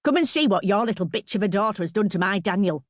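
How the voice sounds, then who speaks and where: A woman voicing a shrill, furious working-class mother in an audiobook, confrontational, bellowing and sarcastic. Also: normal speed, dry recording, studio quality, lightly acted.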